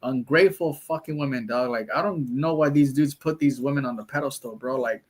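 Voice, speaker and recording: A man's voice: medium pitch, fairly quick. A man from the United States with a Mexican-American accent, talking bluntly and profanely in a casual streamed chat, full of slang. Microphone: streaming call mic.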